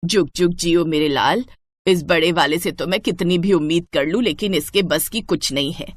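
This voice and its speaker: A woman doing a grumbling old mother-in-law, pitched down. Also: normal speed, no echo, clean studio sound, close to an everyday voice. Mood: fond, bitter. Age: older.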